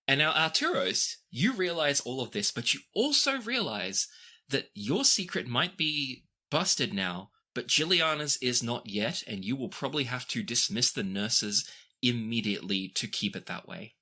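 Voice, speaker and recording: A man with a bright, forward voice of medium pitch narrates as game master. He addresses the players directly as he tells the story of a tabletop role-playing game. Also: big pitch swings, moderate pace. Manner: second-person storytelling.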